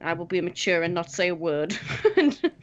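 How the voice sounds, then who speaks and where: A woman's voice: on the low side, sing-song, moderate pace, lo-fi sound. A woman in her twenties in casual joking banter, giggly and mischievous. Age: 20s.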